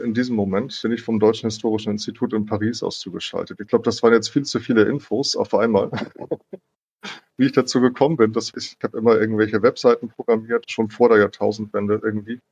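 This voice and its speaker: A fairly low-voiced man talks as a guest in an informal interview. His voice is husky, and the sound is slightly muffled and very dry. He rambles along, hedging and gently making light of himself. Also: no room sound. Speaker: interview guest, digital humanities academic. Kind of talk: informal, conversational interview answers. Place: remote online call.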